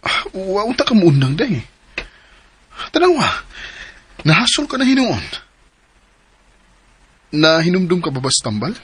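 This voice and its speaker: An actor playing a devoted, worried young man in love in a Philippine radio drama, earnest and halting, with a Cebuano Filipino accent. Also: high-pitched, big pitch swings, breathy edge, full character voice. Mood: gently pleading.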